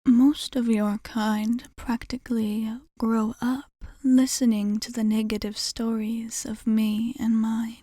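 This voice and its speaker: A woman voicing a composed, caring vampire bartender in an ASMR roleplay, half-whispering with measured, formal wording and thoughtful pauses. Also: on the high side, level tone, dark tone, no room sound, clean studio sound. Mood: calm, reflective. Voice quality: breathy, whispery.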